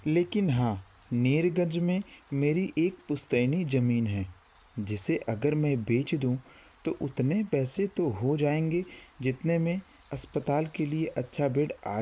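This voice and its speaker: A man's voice: medium pitch, measured pace, warm tone, lightly acted. A man playing a kindly, caring village schoolmaster near retirement in a cartoon story. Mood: gentle, reassuring. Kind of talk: Hindi cartoon story dialogue.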